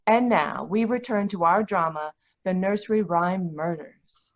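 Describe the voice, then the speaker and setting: A woman's voice: lowish voice, unhurried, faint music behind. A woman announcer reading a 1940s-style radio commercial with salesy delivery, on dull lo-fi audio. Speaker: advertisement announcer.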